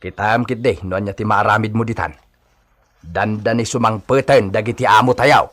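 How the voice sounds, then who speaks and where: An actor with an Ilocano accent playing a loyal, cautious hacienda servant in a radio drama, gently chiding. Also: male voice, fairly low pitch, normal speed, natural voice. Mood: cautioning.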